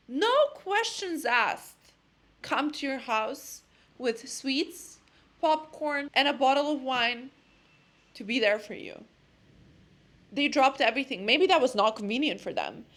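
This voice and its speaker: Young Serbian-accented woman, lifestyle vlogger, somewhat high voice, blunt and impassioned, repeats words when fired up. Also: fairly bright tone. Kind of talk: casual monologue to camera.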